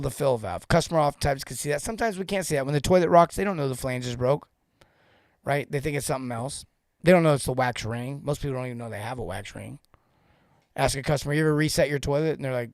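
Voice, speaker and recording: A man in his forties hosting a casual live-stream coaching talk, joking and rambling off into asides with informal, animated banter. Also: mid-range voice, fast, no echo, clean studio sound. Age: 40s. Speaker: host and sales coach for plumbers.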